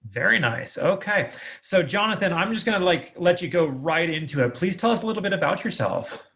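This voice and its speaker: Higher-voiced man hosting a casual podcast. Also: lively intonation, brisk, vocal fry, fairly bright tone. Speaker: podcast interview host. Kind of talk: casual interview.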